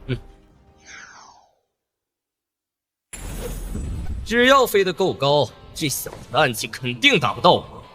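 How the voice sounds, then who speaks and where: Man as a haughty villain, wildly swinging pitch. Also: sing-song, brisk, fairly bright tone, soft background music. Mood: uneasy. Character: haughty, cold male villain cultivator of a sinister sect. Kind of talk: animated xianxia drama dubbing.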